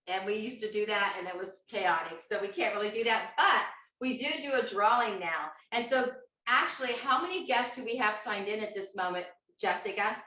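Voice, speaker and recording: Woman host, bright and forceful, chatty audience quizzer, set back from the mic in an echoey room. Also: mid-range voice, normal speed, far from the mic. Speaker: host of an online cooking show. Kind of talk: upbeat live-stream hosting.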